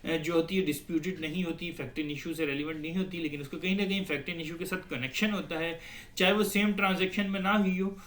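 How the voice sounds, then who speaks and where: A man's voice: fairly high pitch, fairly bright tone, slight background noise. A man with a Pakistani accent giving an explanatory lecture in a didactic way, posing questions and then answering them. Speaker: law lecturer. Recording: echoey, roomy sound.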